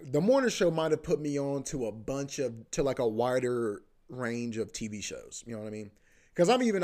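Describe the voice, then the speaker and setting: A man's voice: mid-range voice, a little mellow. A young man hosting a podcast, rambling casually with lots of profanity, repeating himself and filling gaps with "bro" and "you know what I mean". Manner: repeats himself, often restarts. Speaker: podcast host.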